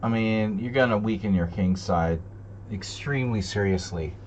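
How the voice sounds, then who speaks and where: A man streaming chess, thinking aloud in casual, deliberating commentary, muttering to himself between pauses. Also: fairly low pitch. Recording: dull lo-fi sound, loud hum.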